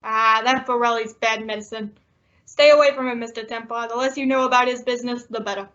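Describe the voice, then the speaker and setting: A woman playing a loyal, wisecracking male cab driver in old-time radio style, excitable and folksy. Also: fairly high pitch, fairly quick, lightly acted. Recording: dull lo-fi audio.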